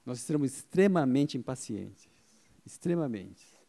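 A man's voice: medium pitch, animated, unhurried, creaky, warm tone. A middle-aged man giving a conference talk into a handheld microphone, explaining points and answering his own questions. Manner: explanatory, asks himself questions and answers them, frequent "tá?" tags. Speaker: speaker giving a marketing talk. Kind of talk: conference talk to a live audience. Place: event hall with a seated audience.

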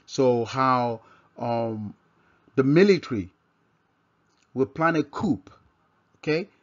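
A man with a Nigerian accent teaches scripture informally at a medium pitch. He speaks haltingly, circling back to repeat and reword his points. The recording is dull and lo-fi. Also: lively intonation. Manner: halting, repeats and rephrases himself. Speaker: religious teacher. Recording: dull, lo-fi microphone sound.

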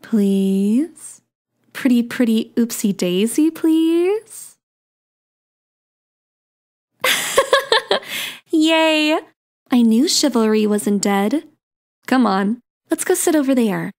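Woman acting as slow-talking, sweet young celestial girl. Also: a little high, lively intonation, heavily acted. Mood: coaxing, playful. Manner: coy, slow, gently teasing. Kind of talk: ASMR romance roleplay.

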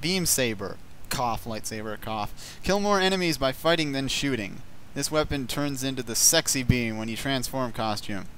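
A man's voice: mid-range voice, lively intonation, normal speed, hardly any pauses, fairly bright tone, a little hiss or hum. A man in a casual chat about a video game, with sarcastic, foul-mouthed banter.

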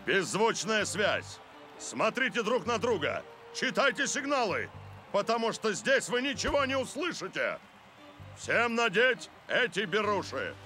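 A man's voice: sits high, very animated, unhurried, sharp tone, heavily acted. A man voicing a firm, encouraging football coach in a dubbed cartoon, commanding and instructive. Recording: music behind the voice.

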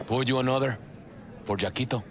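A man's voice: mid-range voice, steady tone, brisk, close to an everyday voice. A man playing a wistful, world-weary barkeeper in a video game. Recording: clear ambient noise behind.

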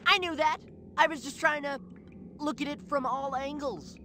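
A performer voicing a wry, self-important teenage warrior in an animated cartoon game. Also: high voice, normal speed, noisy background, natural voice. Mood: defensive.

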